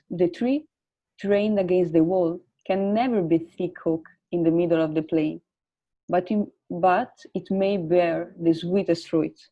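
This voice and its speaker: Panelist, woman, Italian accent, low voice, halting, thoughtful; lo-fi dry call audio. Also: dry recording. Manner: thoughtful, searching for words, frequent uh. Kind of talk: reflective panel talk on a video call. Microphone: video call.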